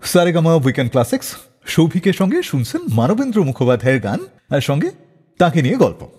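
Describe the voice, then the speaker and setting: A man hosting a radio show, telling anecdotes in a dramatic, expressive scripted style. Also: fairly high pitch, fast, faint music behind. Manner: expressive, acts out quoted dialogue. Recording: long reverb on the voice.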